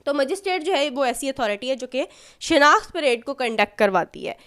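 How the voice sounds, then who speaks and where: A woman's voice: sits high, expressive, a little fast, a bit bright. A woman with a Pakistani accent giving an explanatory lecture, teaching in a didactic way and often restating her points. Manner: didactic, repeats and rephrases points. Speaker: law lecturer. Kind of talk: explanatory lecture mixing Urdu and English terms.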